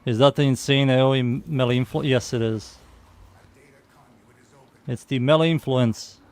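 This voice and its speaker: A young man with a Serbian accent talks his way through a live game stream, half to himself and half to his chat. His voice sits at an ordinary middle pitch, and his tone stays loose and unhurried. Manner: mutters to himself, reads chat aloud, easygoing. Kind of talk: casual live-stream chatter while playing.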